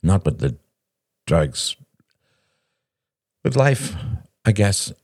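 A man voicing a bitter, ashamed recovering addict, a self-pitying younger brother who talks haltingly in an audiobook story. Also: low-pitched, sing-song, a little mellow, no room sound, clean studio sound, voice pushed up, full character voice. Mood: halting, uneasy. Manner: mumbling, halting, stammering, then bursting out.

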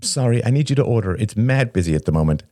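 A man voicing a harried waitress in an audiobook, rushed and apologetic. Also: mid-range voice, animated, mellow, no room sound, clean studio sound, pitched above the performer's own voice. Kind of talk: dramatic audiobook character dialogue.

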